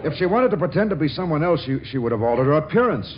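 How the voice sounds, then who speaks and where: Naive, smitten young groom, stammering and easily scandalised, 1940s radio comedy with dull, faintly hissy sound. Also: male voice, fairly high pitch, very animated. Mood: earnest, smitten. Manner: halting, stammering.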